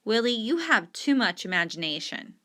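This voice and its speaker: A woman's voice: fairly high pitch, big pitch swings, normal speed, fairly bright tone, voice pushed up. A woman reading aloud and voicing a tired working mother who dodges her son's questions in a story. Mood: weary. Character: weary, guarded working mother.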